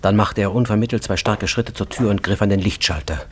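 A man narrating a literary radio drama as a composed, measured storyteller. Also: on the low side, fairly even intonation, slight background noise, close to an everyday voice. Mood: measured, tense scene-setting.

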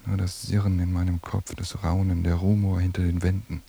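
An actor playing a melancholy, haunted surveillance cameraman in a radio play, voicing introspective thoughts with a gravelly, breathy voice. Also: low voice, little pitch movement, brisk, warm tone, full character voice. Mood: uneasy, brooding. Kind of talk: German radio play inner monologue.

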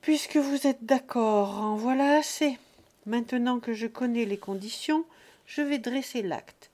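A man's voice: extremely high pitch, measured pace, voice pushed up. Woman playing a sleepy, unflappable notary. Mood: placid. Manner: calm, businesslike.

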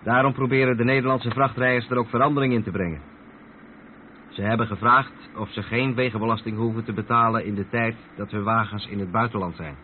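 An actor with a Netherlands Dutch accent playing an experienced long-haul trucker who patiently explains things in a radio play. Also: male voice, mid-range voice, steady tone, fairly quick, close to an everyday voice. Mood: patient, explaining. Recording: muffled old radio play, vehicle noise.

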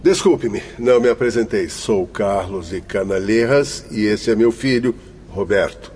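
A man's voice: on the low side, measured pace, darkish tone, a little hiss or hum, natural voice. An actor playing a kindly, courteous male doctor, formal and reassuring, in a radio drama.

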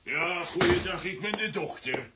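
High-pitched, pushy cartoonish villain. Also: male voice, expressive, measured pace, very noisy. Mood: oily, wheedling. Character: pushy villain posing as a doctor. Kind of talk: children's comic-strip radio play acting.

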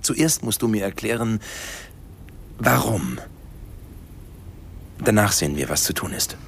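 An actor playing an aggrieved young gang leader in a radio drama, a bully with a confrontational way of talking. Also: male voice, fairly low pitch, animated, measured pace, vocal fry, a little hiss or hum. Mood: menacing anger. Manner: threatening, confrontational.

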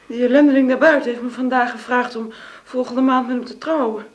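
An actress playing a young woman torn between love and faith, speaking softly and hesitantly. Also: a little high, steady tone, brisk, natural voice. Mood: firm. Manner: soft, hesitant.

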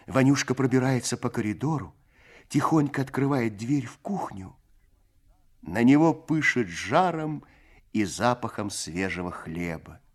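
A man narrates a scripted short story for radio. His pitch is average for a man. The telling is measured and literary, like a storyteller's. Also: breathy edge.

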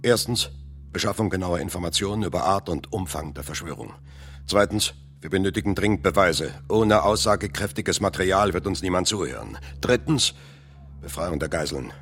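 An actor playing a firm leader giving orders in a clear, orderly way. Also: male voice, low-pitched, lively intonation, normal speed, a bit bright, a little hiss or hum. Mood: commanding. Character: male leader of a resistance group, commanding.

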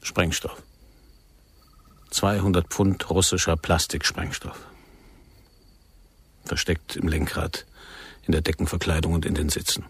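A solemn, commanding agent posing as a fervent fighter in a radio drama. His voice is low and very husky, recorded close and dry. He speaks with ceremony and grave insistence. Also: steady tone, unhurried, pauses often, no echo, full character voice. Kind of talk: German radio play acting.

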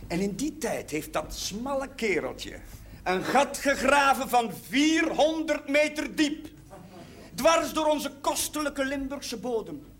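A jovial middle-aged man making a celebratory speech in a television drama. His voice is high, and he tells anecdotes with rhetorical swells. The old soundtrack carries faint noise. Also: sing-song, slow, fairly bright tone, heavily acted. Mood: rousing, proud.

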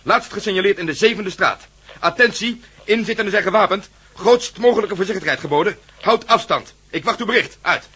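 An exasperated police inspector in a radio drama, his voice very husky and fairly high. He rattles out urgent, clipped commands at a fast pace. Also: pushed and bright, exaggerated voice. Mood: urgent, commanding.

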